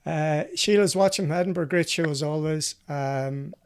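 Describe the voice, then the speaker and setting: A man's voice: fairly high pitch, normal speed, clear, resonant tone. A man hosting a casual live motorsport chat, telling anecdotes and bantering in a chatty way. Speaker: host of a live rally show; former professional co-driver.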